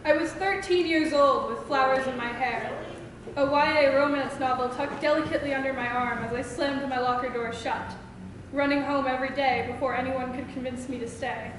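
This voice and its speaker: A teenage woman reciting slam poetry with dramatic, deliberate phrasing in an echoing hall. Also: sits high, fairly even intonation. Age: teens. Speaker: student poet. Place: school open mic performance in a reverberant room. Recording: echoey hall with faint noise.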